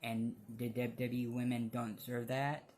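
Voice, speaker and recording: A man giving casual opinion commentary as a fan vlogger, speaking bluntly in a halting, rambling way. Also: mid-range voice, little pitch movement, a little mellow, slight background noise.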